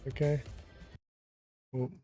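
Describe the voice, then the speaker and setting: Dark-voiced man thinking aloud while gaming. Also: drawn-out words, soft background music. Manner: rambling, thinks aloud with fillers. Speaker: gaming streamer. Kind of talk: casual gameplay commentary.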